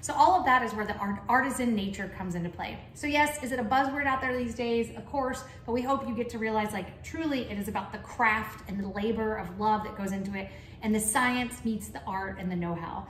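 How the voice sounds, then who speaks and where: Enthusiastic Texas-accented woman, higher voice, folksy class host; roomy echo, light noise. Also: a little fast, fairly bright tone. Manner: chatty and enthusiastic, folksy asides. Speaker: cheese shop founder teaching a tasting class. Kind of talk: casual tasting class. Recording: echoey room, faint background noise.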